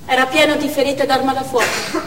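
A woman's voice: high voice, level tone, brisk, a bit bright. An actress playing a grieving, hollowed-out Chechen widow in a stage monologue, telling her story in short, broken phrases. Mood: anguished tenderness. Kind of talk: dramatic theatre monologue. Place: theatre stage, distant microphone. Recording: echoey theatre from far off, faint noise.

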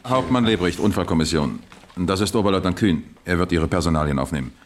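An actor playing a businesslike police captain in a 1960s German radio crime drama, methodical and official as if dictating a report. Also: male voice, low voice, fast talker, natural voice. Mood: matter-of-fact. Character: businesslike male police captain heading an accident investigation.

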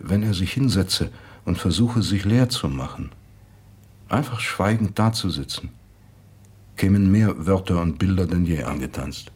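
An actor playing a sober, reflective man who deliberately recounts memories in a radio drama. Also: low-pitched, darkish tone, husky. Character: man soberly recalling an absent friend's confidences. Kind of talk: radio play monologue.

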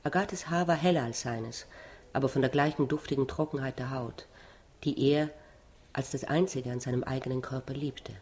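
A woman's voice: very deep voice, normal speed, darkish tone. A woman reading a literary novel aloud as narrator, with dialogue. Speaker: reader.

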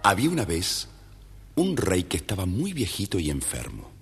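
Low, husky Argentine man, animated fairy-tale narration, theatrical, reverberant record. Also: moderate pace, over loud music. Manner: expressive, theatrical. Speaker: storyteller. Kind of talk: scripted children's story narration.

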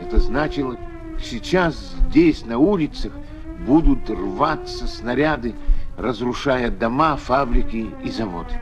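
A man's voice: medium pitch, expressive, unhurried, over music. A man delivers solemn, dramatic scripted documentary narration for children, with emphatic rhetorical phrasing.

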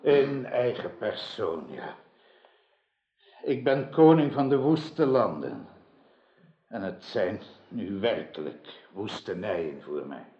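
An actor playing an old, wronged king in a radio drama, solemn and sorrowful, with halting, archaic speech. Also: fairly low pitch, lively intonation, slight background noise. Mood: bitter, sorrowful. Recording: dull, roomy radio drama recording.

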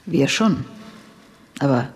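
An actress playing a sober, matter-of-fact spaceship specialist in a radio drama, speaking in a measured, factual way. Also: female voice, extremely low pitch, expressive, full character voice. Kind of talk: German radio drama acting.

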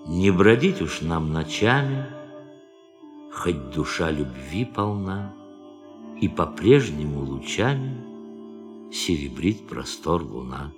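An actor in a radio drama playing a brooding, bitter astronaut with a poetic soul, speaking in a measured, declamatory way. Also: male voice, sits low, very animated, exaggerated voice. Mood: poetic, solemn. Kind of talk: theatrical radio drama acting. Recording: studio radio play with music behind.

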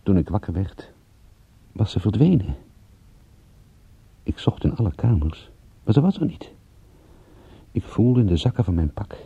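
A man playing a world-weary, tender private detective in a radio drama, speaking in a measured, intimate way. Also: lowish voice, very animated, creaky, slightly rough, muffled recording, exaggerated voice. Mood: reflective. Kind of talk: radio drama acting with first-person narration.

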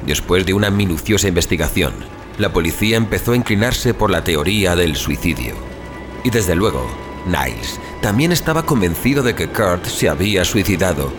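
A man narrating a scripted suspense horror story as an audio fiction narrator. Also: deep voice. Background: music.

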